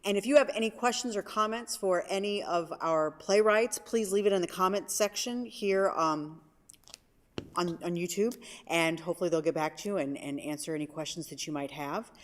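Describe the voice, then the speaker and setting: Lowish-voiced woman in an echoey hall. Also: normal speed. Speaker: stage-directions narrator and event host. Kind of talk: scripted narration and hosting. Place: theatre hall. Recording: very echoey hall, distant mic.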